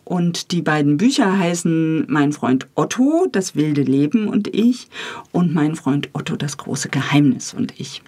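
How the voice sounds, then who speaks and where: A woman with a husky voice, talking enthusiastically as a guest in a relaxed interview. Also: low-pitched, measured pace. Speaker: guest, radio drama author, editor and director.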